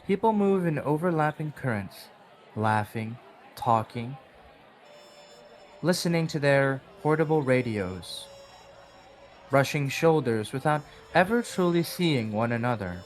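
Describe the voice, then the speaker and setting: A man reading scripted narration for a horror audio drama in a measured, dramatic storytelling manner. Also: medium pitch, expressive. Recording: background music under the voice. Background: music.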